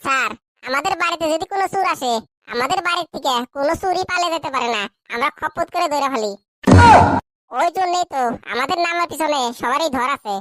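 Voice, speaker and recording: Squeaky, meek cartoon schoolboy. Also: female voice, quick pace, sharp tone. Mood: earnest, naive. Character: cheeky, dim young schoolboy pupils, meek before teacher. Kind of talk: comic cartoon dub.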